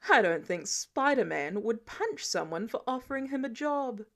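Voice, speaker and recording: A woman's voice: on the high side, lively intonation, normal speed, fairly bright tone. A woman reading an audiobook, voicing a confident, teasing older male inventor mentor. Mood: teasing, mock offended. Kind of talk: audiobook character dialogue.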